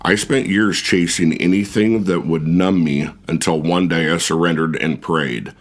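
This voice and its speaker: A man narrating an audiobook foreword in a measured, even, scripted reading. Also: low voice. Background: faint music.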